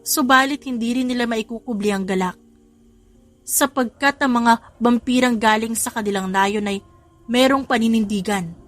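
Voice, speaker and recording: A woman's voice: fairly high pitch, normal speed. A woman with a Filipino accent reading scripted dramatic story narration as a narrator, with music behind her.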